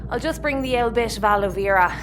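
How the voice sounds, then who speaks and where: A woman's voice: fairly high pitch, fast, music in the background, close to an everyday voice. An actress playing a cheeky, mouthy young Irish crewwoman in a sci-fi audio comedy.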